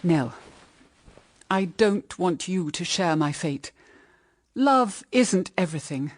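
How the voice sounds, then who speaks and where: A woman's voice: on the low side, lively intonation, slow pace, dry recording, studio quality. An actress with a British accent playing a middle-aged widowed mother, solemn and anguished, in radio drama style. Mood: earnest pleading. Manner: solemn, intense, melodramatic. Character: anguished, bitter widowed mother pleading with her daughter.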